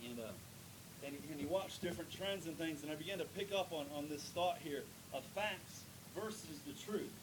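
A man preaching in a church, his voice on the higher side for a man. He reads scripture, prays, and works up to loud, emphatic points, stretching out key words. The recording carries steady noise and a low rumble underneath. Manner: builds to loud emphatic preaching with drawn-out stresses. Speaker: pastor. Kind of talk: sermon with prayer and scripture reading. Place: church sanctuary.

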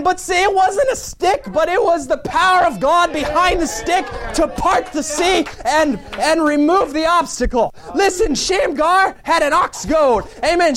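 Fervent male preacher, high-pitched, amen-peppered delivery, rising to shouts. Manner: fervent, punctuates with amen and hallelujah; builds to shouting. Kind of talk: sermon.

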